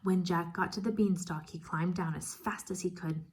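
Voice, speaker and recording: A woman teacher reading a children's story aloud expressively, pausing to ask questions and explain. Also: on the low side, steady tone, darkish tone, slightly rough. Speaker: first grade teacher.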